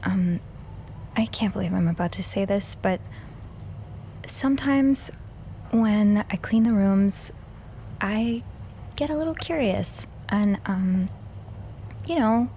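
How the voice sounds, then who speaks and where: A woman's voice: medium pitch, animated, takes it slowly, long pauses, mellow, a little hiss or hum. A woman playing an anxious young hotel maid in a video game, with a hesitant, earnest way of talking. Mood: nervous confession.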